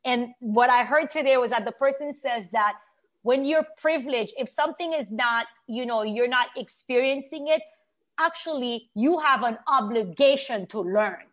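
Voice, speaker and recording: Earnest woman with a higher-pitched voice. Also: normal speed, a bit bright. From Haiti. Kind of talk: conversational opinion talk.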